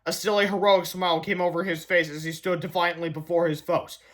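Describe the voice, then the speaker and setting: A man's voice: high voice, little pitch movement, brisk, a bit bright. A man narrating a children's book as a read-aloud. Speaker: storybook reader.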